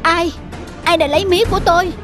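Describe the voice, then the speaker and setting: Excitable, high-pitched farmer. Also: female voice, quick pace, music in the background. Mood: indignant. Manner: exclamatory, indignant. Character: excitable wronged sugarcane farmer, simple honest man. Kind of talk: animated folk-tale cartoon dubbing.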